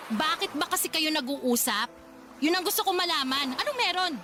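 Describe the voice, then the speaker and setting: Very high-pitched, indignant Filipina. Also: female voice, lively intonation, moderate pace, background noise. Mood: indignant, disbelieving. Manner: confrontational, sarcastic, emphatic. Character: hurt, indignant young woman confronting her two-timing date. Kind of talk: Tagalog radio drama acting.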